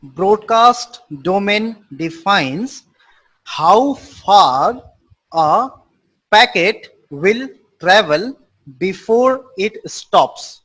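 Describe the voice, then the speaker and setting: A man's voice: high-pitched, lively intonation, very slow, a bit bright, low-quality recording. A man teaching a live online class, explaining terms with repeated simple definitions and quizzing his students. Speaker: networking instructor.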